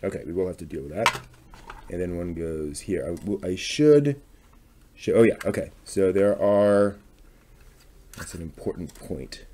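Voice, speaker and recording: A man's voice: deep voice, a little slow, long pauses, darkish tone. A man talking casually through a hands-on demonstration on a live stream, tentative and thinking aloud, often trailing off. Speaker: host of a live craft stream.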